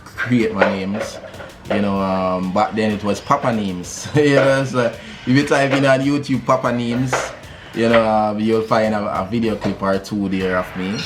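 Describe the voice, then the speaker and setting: A Jamaican man, a musician, telling his story in a relaxed interview, with many fillers and easy laughs. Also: fairly low pitch. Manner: laid-back, many fillers, laughs easily. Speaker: musician guest.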